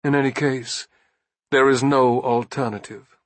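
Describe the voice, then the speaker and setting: A man's voice: medium pitch, fairly even intonation, no room sound, voice pushed up, natural voice. Man voicing a weary, stubborn old general. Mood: quiet, resolute. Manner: soft, slow, deliberate. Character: Lee, weary, dignified, stubborn old male commanding general. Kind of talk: audiobook war novel character.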